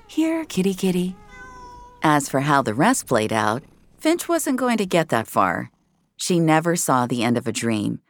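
A woman's voice: extremely low pitch, creaky. A woman narrating scripted science-fiction drama with a wry, noir storytelling manner.

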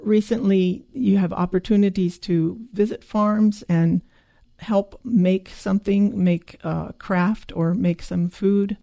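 A woman from Japan, a guidebook author and tour guide, calmly explaining things in a radio interview. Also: medium pitch, takes it slowly, vocal fry, dry recording, clean studio sound. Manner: calm, informative explaining.

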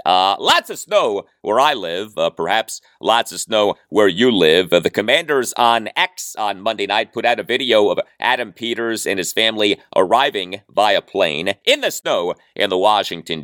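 A man's voice: on the low side, a bit bright, dry recording, studio quality. An American man hosting a sports podcast, delivering commentary emphatically and stretching out words for effect.

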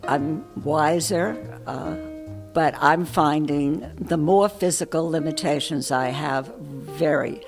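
Low-voiced older New York woman. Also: slow pace, over music. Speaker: interviewee.

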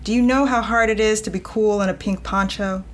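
A woman's voice: medium pitch, brisk, a little hiss or hum. A woman editor on a podcast, talking conversationally and reading a passage aloud. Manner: conversational, laughs often, expressive. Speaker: editor and guest.

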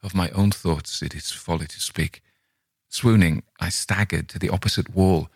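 A man narrates as a condemned Gothic murderer making his confession. The voice is low, grave and deliberate, in a very dry audiobook sound. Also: steady tone, husky, no echo. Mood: grim horror. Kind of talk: dramatic audiobook reading of Gothic horror.

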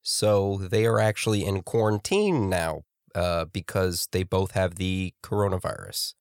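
A man hosting a casual podcast, congested from a cold, chatty and quick to laugh. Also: deep voice, clear, resonant tone, dry recording, studio quality. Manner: laughing, chatty, talks over his co-host. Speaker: podcast host.

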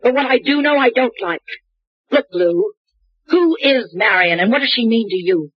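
An actress playing a sharp, guarded young woman in 1940s radio drama style, on an old dry radio recording. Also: fairly high pitch, animated, moderate pace, slightly rough, dry recording, dull audio. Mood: tense.

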